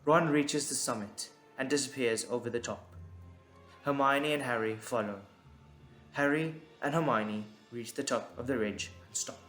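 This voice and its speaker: A man's voice: mid-range voice, moderate pace, a bit bright. A man reading scripted dramatic narration as the narrator of an audio drama. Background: music.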